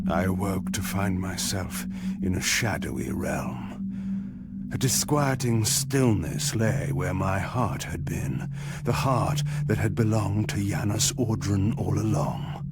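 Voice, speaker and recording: An actor voicing a proud, sardonic vampire lord in a video game, with eloquent, theatrical delivery. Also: male voice, low-pitched, takes it slowly, heavy background noise. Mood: brooding. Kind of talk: dramatic video game narration and dialogue.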